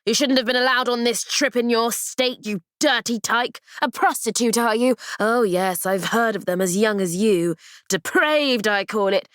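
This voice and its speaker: A woman's voice: medium pitch, measured pace, smooth, no echo, clean studio sound, voice pushed up, lightly acted. A woman voicing a harsh, sneering ship's nurse who snarls her words. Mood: contemptuous.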